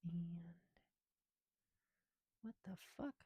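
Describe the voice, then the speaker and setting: A man records a casual ASMR chat in a dry, close space. He rambles with swearing and teasing, slipping between hushed murmurs and fuller speech. His breath is audible through a mask. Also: fairly high pitch, very slow, husky, no echo, lo-fi sound. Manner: drifts between soft whispering and louder talk. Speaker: ASMR creator. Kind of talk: casual first-person ASMR build chat. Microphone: close mic, speaking through a mask.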